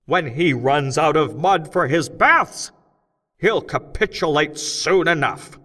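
A man voicing a man turned gnome, rousing a crowd of miners with loud, slow, impassioned speech. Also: very high-pitched, huge pitch swings, in a large hall, pitched above the performer's own voice, heavily acted.

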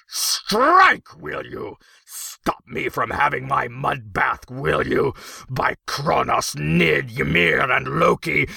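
A man's voice: fairly high pitch, creaky, pushed and bright, slightly rough, dry recording, pitched above the performer's own voice, exaggerated voice. A man voicing an ugly, pompous gnome emperor who rants furiously and draws out his insults in a storybook reading. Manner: bellowing, ranting, drawn-out insults.

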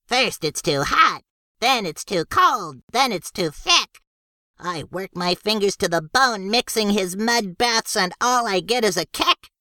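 A man's voice: high-pitched, lively intonation, measured pace, sharp tone, dry recording, clean studio sound, voice pushed up, heavily acted. A man voicing a small, put-upon male gnome servant who complains plaintively in an audiobook. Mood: plaintive grumbling. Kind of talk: audiobook character voice.

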